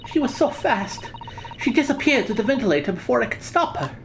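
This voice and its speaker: Young Russian woman acted by a woman, game character, anxious and confessional, Russian accent, animated intonation. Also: fairly high pitch, a little fast, slight background noise, pitched above the performer's own voice, rough recording. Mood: anxious, explaining. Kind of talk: reading game dialogue aloud in character.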